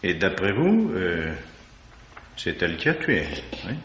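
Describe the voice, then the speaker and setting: Blunt police commissioner, old noisy radio. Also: male voice, sits low, huge pitch swings, a little slow, a bit bright, heavily acted. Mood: probing. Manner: blunt, questioning, colloquial. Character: blunt, impatient police commissioner, a man, questioning witnesses. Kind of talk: 1950s French radio drama acting. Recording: dull, noisy vintage radio recording.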